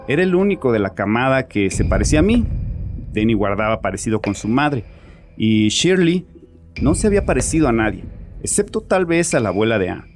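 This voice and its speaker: A man's voice: mid-range voice, lightly acted. An actor playing a bitter, troubled father confessing defensively and sardonically in a dramatized horror audiobook. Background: music.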